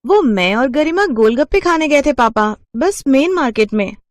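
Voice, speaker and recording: A woman's voice: high voice, expressive, moderate pace, dry recording, studio quality, pitched above the performer's own voice. A woman putting on a loyal rich girl. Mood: insistent. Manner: insistent, defending. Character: rich kind young woman, loyal friend.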